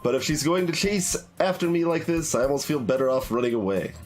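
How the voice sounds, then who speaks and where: Male let's play streamer, lively mid-pitched delivery, wry and halting, reading on-screen story with music behind. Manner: hesitant, wry. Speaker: video game streamer. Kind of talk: casual let's play, reading game text aloud.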